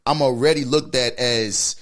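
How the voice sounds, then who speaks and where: A man with a New Orleans accent co-hosting a casual podcast discussion, animated and swearing freely. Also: mid-range voice, moderate pace. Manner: animated, swears freely, tags lines with "you feel me". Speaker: podcast co-host.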